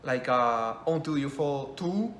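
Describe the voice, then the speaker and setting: A man's voice: medium pitch, animated, takes it slowly, a bit bright, a little hiss or hum. Rambling man, non-native English speaker. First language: not English. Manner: rambling, self-correcting, enthusiastic. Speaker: game commentator. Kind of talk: casual spoken review. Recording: echoey room, faint ambience.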